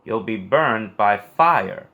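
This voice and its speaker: A man's voice: on the low side, animated, slow, gravelly, sharp tone, heavily acted. An emphatic Roman governor from a storybook, acted out by a man reading aloud. He presses and implores like a ruler who would rather not carry out his sentence. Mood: stern warning. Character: Roman proconsul, male ruler, pressing and reluctant to execute.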